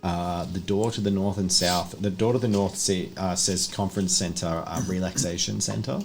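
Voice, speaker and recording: A man's voice: sits low, fairly even intonation, normal speed, vocal fry, background noise. A man acting as game master, narrating a tabletop horror game in an improvised, conversational and descriptive way.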